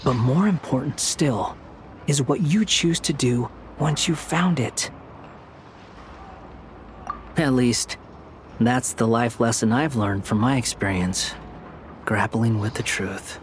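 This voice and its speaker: A man's voice: mid-range voice, slow pace, long pauses, slight background noise, lightly acted. An actor playing an aloof, sardonic young male wanderer in a video game, blunt and dry but reflective. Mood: earnest. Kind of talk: dramatic video game dialogue.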